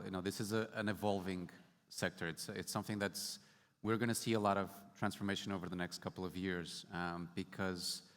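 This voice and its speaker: A man's voice: low-pitched, fairly bright tone. A man from Portugal speaking casually on a conference panel about his startup work, explaining with light humor. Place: large conference hall stage. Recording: hall reverb.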